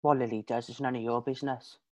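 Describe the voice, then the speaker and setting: A woman's voice: very deep voice, steady tone, quick pace, darkish tone, no echo. A woman acting in a drama scene as a cocky, evasive young male drug dealer, dismissive and mocking, with a British accent. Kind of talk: acted scene from a drama showcase.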